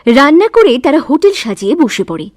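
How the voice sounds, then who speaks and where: Woman narrating a cartoon story, high and lively. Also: fairly quick, dry recording, clean studio sound. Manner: plain expressive storytelling.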